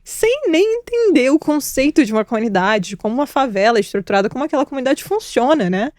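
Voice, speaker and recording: A woman's voice: high-pitched, very animated, quick pace, a little mellow, no echo, clean studio sound. Candid young woman telling her story. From former Soviet Union. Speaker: guest. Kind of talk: casual conversational interview.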